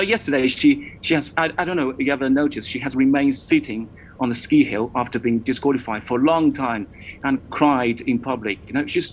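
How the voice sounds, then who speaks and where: A man's voice: mid-range voice, low-quality recording. Chatty male reporter, faint hiss behind. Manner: chatty, repeats words while speaking. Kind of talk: live news talk show chat.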